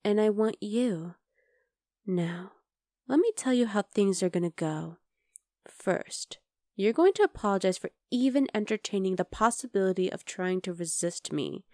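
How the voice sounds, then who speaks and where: A woman playing a possessive, bloodthirsty vampire girl in an ASMR roleplay, talking intimately to the listener and slipping into breathy whispers. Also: medium pitch, lively intonation, moderate pace, a little mellow, no echo, clean studio sound, lightly acted. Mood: menacing, possessive.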